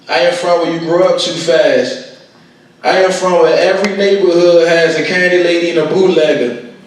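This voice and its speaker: A man's voice: on the high side, steady tone, moderate pace. Man with Washington DC accent, very echoey. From Washington DC, United States. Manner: plain-spoken, colloquial storytelling. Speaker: guest speaker and poet. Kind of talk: poem recital and personal testimony to an audience. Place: echoing venue at a conference. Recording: very echoey room, distant mic, faint noise.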